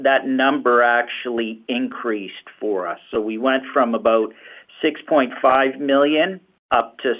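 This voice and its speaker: A man speaking as a finance executive answering questions on an earnings call, explaining haltingly and repeating words. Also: medium pitch. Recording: phone-like, narrow conference call line.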